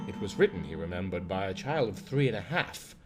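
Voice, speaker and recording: A man reading a literary horror story as an audiobook narrator, in a measured, formal delivery. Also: low voice, sing-song. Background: guitar music.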